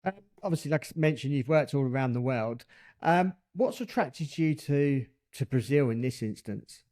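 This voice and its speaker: A podcast host in conversation with his guest. He asks questions hesitantly, leaning on fillers before getting to the point. His voice sits at an ordinary male pitch. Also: normal speed, a little mellow. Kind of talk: asking interview questions.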